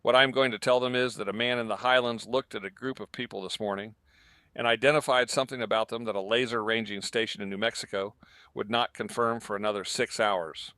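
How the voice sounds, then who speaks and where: A man playing a careful, earnest correspondent in a radio news drama, speaking in a measured way. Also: on the low side, steady tone, pushed and bright, dry recording.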